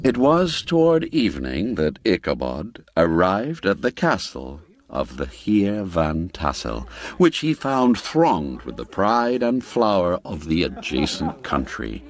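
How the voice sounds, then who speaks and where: A man reading a classic tale aloud as a scripted narration, slow and storytelling, letting phrase endings fall away. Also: sits low, big pitch swings. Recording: faint music behind.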